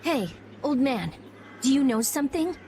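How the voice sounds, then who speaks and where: High-pitched, blunt teenage girl. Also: animated, normal speed, mellow, slight background noise. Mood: brusque. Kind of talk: video game voice acting.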